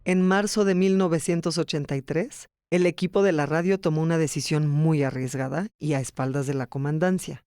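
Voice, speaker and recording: A man with a fairly high voice narrates documentary history. He reads from a script as the narrator of a podcast. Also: steady tone, brisk, few pauses, a little mellow, clean tone, dry recording, studio quality.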